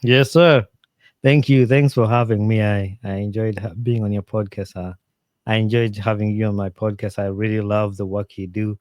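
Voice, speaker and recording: A man from Uganda, a podcast guest giving earnest, animated advice in casual interview talk, with plenty of fillers and restarts. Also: on the low side, normal speed, no echo.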